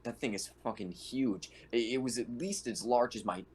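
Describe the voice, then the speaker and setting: A man's voice: medium pitch, normal speed, a little mellow. A man playing a tabletop roleplaying game, chatting informally and swearing as he describes what his character does. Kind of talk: casual in-character game chat. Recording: faint background noise.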